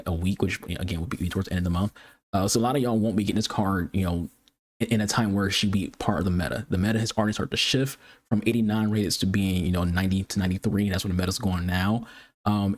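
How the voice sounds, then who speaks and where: Male gaming creator, lowish voice, rapid cluttered delivery, frequent restarts, casual review talk. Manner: fast, cluttered speech with repeated words; excitable high-pitched greeting. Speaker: gaming YouTuber. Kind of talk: casual game review commentary.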